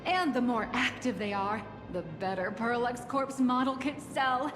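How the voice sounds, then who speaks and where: A confident young anime game character, acted by a woman, with a slightly high voice and a knowing, teasing air. Her words ring with long reverb over music. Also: normal speed, natural voice. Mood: explanatory. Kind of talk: anime-style game voice acting.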